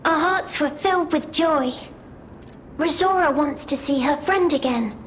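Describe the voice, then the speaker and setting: Slow, high-pitched childlike doll girl. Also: a little hiss or hum. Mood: wistful, pleading. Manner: speaks of herself by name, pleading, naive. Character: childlike wistful doll girl longing for freedom. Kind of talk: scripted video game character acting.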